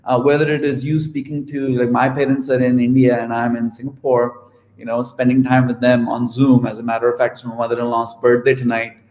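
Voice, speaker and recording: A man's voice: medium pitch, little pitch movement, fairly quick, a little mellow, roomy sound, poor audio. A man on an online panel, speaking conversationally and filling with "right?" and "you know". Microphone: video call.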